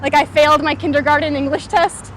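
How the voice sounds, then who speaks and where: Young woman, bright high voice, loose conversational style, filler words, faint street noise. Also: level tone, a little fast, some chatter behind, poor audio. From California, USA. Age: 20s. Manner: frequent "like" and "um" fillers, thoughtful. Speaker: startup founder interviewee. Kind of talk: casual interview while walking. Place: outdoors on a city street.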